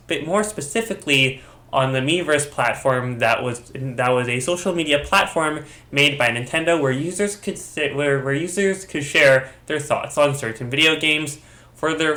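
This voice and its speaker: A man's voice: on the high side, a bit bright. A man narrating a commentary video while sick and under the weather, rambling and often correcting himself mid-sentence. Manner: rambling, with frequent restarts and self-corrections. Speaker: YouTube commentator. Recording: echoey room.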